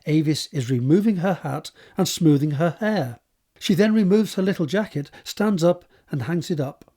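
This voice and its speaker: A man reading scripted scene descriptions as a narrator, in a measured, descriptive way. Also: fairly high pitch, expressive, mellow. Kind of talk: scripted narration of stage directions.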